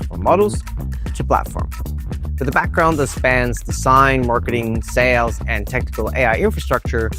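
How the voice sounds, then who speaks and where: An upbeat man hosts a podcast, reading his intro and asking friendly interview questions. His voice sits in a comfortable middle register. Music plays clearly under his speech. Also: medium pitch. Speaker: podcast host.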